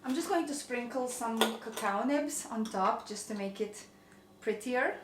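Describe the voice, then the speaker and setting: A woman's voice: a little high, expressive, measured pace, heavy background noise. Chatty Croatian woman in an echoey kitchen. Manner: chatty, rambling, self-deprecating. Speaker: vlogger. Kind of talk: casual kitchen chat. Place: home kitchen.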